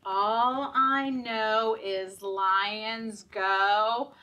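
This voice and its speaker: A woman leading story time for young children in a sing-song, deliberate, encouraging way, often asking the children questions. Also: medium pitch, steady tone, pushed and bright, distant mic.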